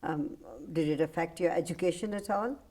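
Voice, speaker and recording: A woman hosting a studio talk show, chatty and quick to affirm her guest. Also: lowish voice, mellow. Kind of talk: studio interview.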